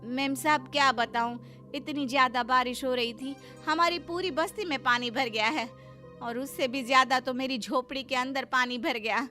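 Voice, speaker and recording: Woman acting meek, high-voiced housemaid. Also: normal speed, bright, forceful tone, faint music behind. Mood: pleading. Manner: deferential, pleading. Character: meek, pleading poor village housemaid. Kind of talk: animated moral story voice acting.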